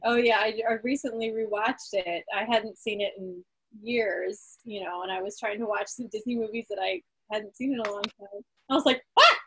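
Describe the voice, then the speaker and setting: Woman, chatty and giggly, husky edge, close dry sound on a casual video call. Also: medium pitch, fairly even intonation, moderate pace, fairly bright tone, no room sound, low-quality recording. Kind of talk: casual chat.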